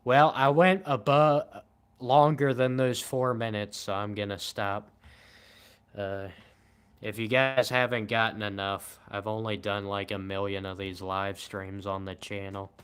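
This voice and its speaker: A man in his twenties chatting casually on a late-night livestream in a flat, monotone, low-key way. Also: measured pace, clean tone. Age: 20s. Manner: monotone, calm and low-key, says he shows little emotion. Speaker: livestream host.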